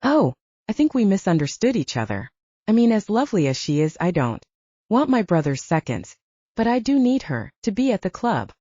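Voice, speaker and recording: Woman voicing smug werewolf club owner, husky and lively. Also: mid-range voice, normal speed, no echo, lo-fi sound. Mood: smug, demanding. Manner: taunting, smug. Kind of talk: audiobook character dialogue.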